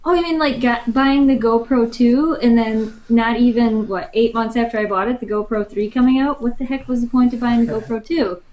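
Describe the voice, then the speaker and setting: A woman's voice: a little high, fairly even intonation, moderate pace, hardly any pauses, darkish tone, low-quality recording. A woman chatting casually as a participant in a group discussion. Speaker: panel participant.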